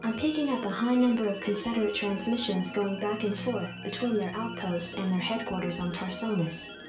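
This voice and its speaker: An actress voicing a calm, formal military computer adjutant giving a game mission briefing through a synthetic comms filter. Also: female voice, medium pitch, a little fast, over music. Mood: informative. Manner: even, formal reporting. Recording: heavily echoing, distant, phone-like comms sound.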